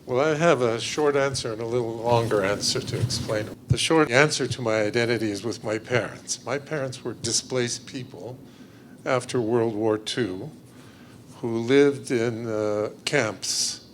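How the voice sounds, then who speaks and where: An older man on a round table panel, speaking deliberately about his parents, with many uhs. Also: mid-range voice, a little hiss or hum. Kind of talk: personal account.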